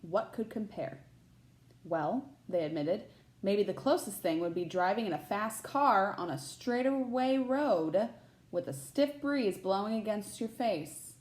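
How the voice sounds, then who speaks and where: A woman's voice: mid-range voice, expressive, unhurried, darkish tone. A woman teacher reading a children's picture book aloud expressively, with short asides to her listeners.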